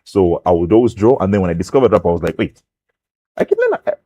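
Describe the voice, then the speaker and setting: A young man from Zambia chats as a rapper guest on a breakfast talk show. His voice sits very low and a little husky, and he talks quickly, circling back with fillers and restarts. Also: expressive, dark tone. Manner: rambling with many fillers and restarts. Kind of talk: casual talk show interview.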